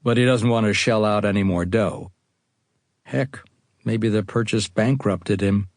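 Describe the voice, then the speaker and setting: An American man reads a first-person account as audiobook narration. His voice sits on the low side. The sound is dry, with almost no room around it. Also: unhurried, no echo. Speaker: author reading own audiobook.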